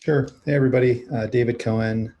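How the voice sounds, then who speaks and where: A man introducing himself on an online panel, speaking in a relaxed, casual way. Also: medium pitch, fast, lo-fi sound, slight background noise. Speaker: co-founder and managing partner. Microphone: video call.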